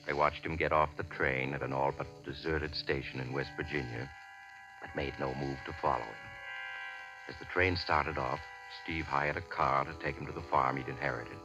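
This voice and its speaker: An actor narrating a 1940s radio suspense tale in a measured, ominous, theatrical way. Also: male voice, rumbling low. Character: ominous, composed mystery-story narrator. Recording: dull vintage radio recording.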